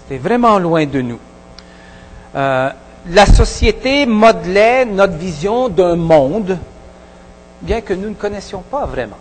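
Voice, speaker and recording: A pastor with a Québécois French accent preaching a sermon in a halting way, with many pauses and restarts. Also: male voice, high voice, lively intonation, husky, big-room sound, poor audio. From Quebec. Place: church hall.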